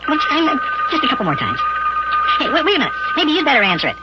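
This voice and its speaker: A man voicing an eager, mischievous, boastful little boy in a children's radio serial, with a high, raspy, sped-up voice. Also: huge pitch swings, racing, heavy background noise, exaggerated voice. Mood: eager, scheming. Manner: chattering, boastful, plays at being important. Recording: dull lo-fi old radio recording.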